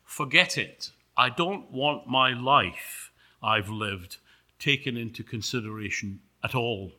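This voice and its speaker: Man acting as resolute humble apostle, firm, plain-spoken, bright tone, unhurried, sermon storytelling. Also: mid-range voice, full character voice. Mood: calm, firm.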